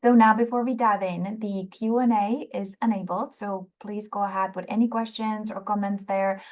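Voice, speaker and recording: A woman's voice: mid-range voice, steady tone, a little mellow. Czech-accented woman lecturing in a webinar. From Czech Republic. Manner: measured, explanatory, with frequent "so" and "um". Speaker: psychologist and webinar presenter.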